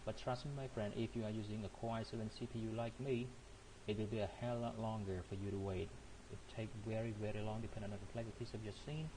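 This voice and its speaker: Hesitant man, noisy recording. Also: on the low side. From Cambodia. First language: not English. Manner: hesitant, thinking aloud with frequent fillers and restarts. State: coughing now and then. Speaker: software tutorial presenter. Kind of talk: screen-recorded software tutorial.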